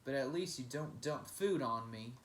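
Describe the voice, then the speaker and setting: A mean, grumbling storybook loner, acted out by a man reading aloud. He growls and shouts his complaints with big swings in pitch. The sound is echoey, as in an untreated room. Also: lively intonation, normal speed, noisy background, close to an everyday voice. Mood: grudging.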